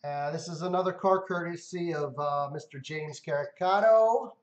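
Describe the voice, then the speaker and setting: Upbeat high-voiced man hosting a stream. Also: normal speed. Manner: upbeat, talks to viewers, gives away cars. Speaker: livestream host. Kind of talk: casual livestream chat. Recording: roomy, dull lo-fi sound.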